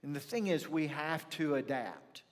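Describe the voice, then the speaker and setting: Male pastor, Texan accent, folksy storyteller with emphatic pauses, somewhat high-pitched, long room reverb. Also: expressive. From rural North Texas, USA. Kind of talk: sermon with personal storytelling. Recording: reverberant hall, church livestream.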